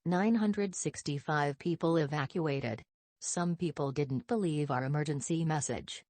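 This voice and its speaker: A synthetic woman's voice reading scripted emergency broadcast announcements in a stiff, impersonal, measured way. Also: sits low, a little mellow, no room sound.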